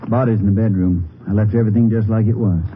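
An actor playing a stubborn small-town sheriff with a rural Texas accent and a firm, folksy manner in a 1950s radio western. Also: male voice, lowish voice, fairly even intonation, fast, a little hiss or hum, natural voice. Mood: matter-of-fact. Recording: muffled old radio, murmuring behind.

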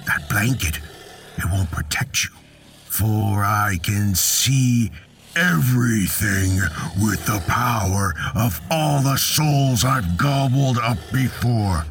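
A man's voice: on the low side, lively intonation, takes it slowly, a bit bright, slight background noise, heavily acted. A man voicing a stern, scolding mother who is a menacing child-eating dragon in a storybook tale. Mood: quietly menacing. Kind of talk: storybook character voice.

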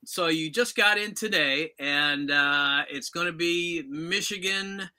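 A man's voice: a little high, slow pace, sharp tone, clear, resonant tone. A man hosting a sports podcast and asking questions in a conversational interview style.

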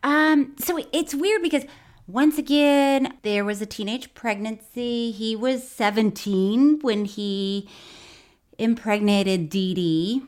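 A woman's voice: on the high side, takes it slowly, darkish tone. A woman hosting a comedy podcast, chatting casually in an amused, teasing way. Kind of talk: casual comedic chat about a documentary.

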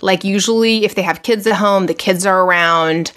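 A woman's voice: medium pitch, normal speed, fairly bright tone. A woman from Argentina, a physician, talking casually in an interview with lots of "like" and "you know" and frequent restarts. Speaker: physician, podcast guest.

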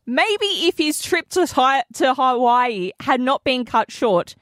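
A woman's voice: sits high, animated, slow pace, no room sound, clean studio sound. A woman co-hosting a radio show, chatty and wry in her banter. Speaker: radio co-host. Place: radio studio.

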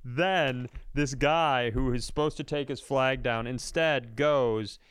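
A man's voice: mid-range voice, steady tone, takes it slowly, fairly bright tone, smooth, dry recording. An American man co-hosting a comedy podcast, ranting casually in a blunt, profane, mocking way.

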